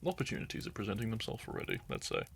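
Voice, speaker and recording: A fairly low, gravelly young man in a loose, wandering chat to his listeners, his voice rising and falling a lot. He drifts off track, chuckles at himself, and sips wine between thoughts, with a faint hiss behind him. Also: huge pitch swings, very fast. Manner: meandering, chatty, laughs often, loses his train of thought; sips wine while talking. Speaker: audio content creator. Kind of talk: unscripted casual ramble to listeners.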